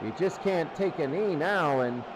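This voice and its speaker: A man's voice: a little high, expressive, unhurried, background noise. A man giving live play-by-play football commentary as a sports announcer.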